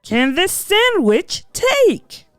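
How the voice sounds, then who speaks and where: A woman's voice: very high-pitched, big pitch swings, extremely slow, dry recording, studio quality, soft background music, voice pushed up, exaggerated voice. A woman voicing a flustered, grumpy sandwich shop man with groaning, exasperated delivery in a children's storybook. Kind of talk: children's storybook read-aloud.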